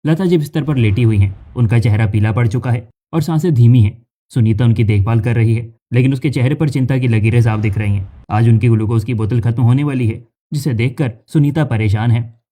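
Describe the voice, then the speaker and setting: A man narrates a cartoon story from a script. His voice is mellow and dark, at a middling pitch. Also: a little fast.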